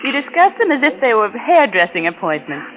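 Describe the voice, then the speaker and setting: A woman's voice: a little high, big pitch swings, a little fast, poor audio. A woman playing an amused, arch society friend in a 1940s radio drama. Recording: old radio, busy crowd behind.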